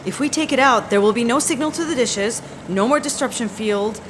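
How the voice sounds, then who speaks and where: A woman's voice: fairly high pitch, fairly quick, background noise, natural voice. A woman playing an anxious, devoted tech agent in an audio drama, earnest and emotional. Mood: grim, tense.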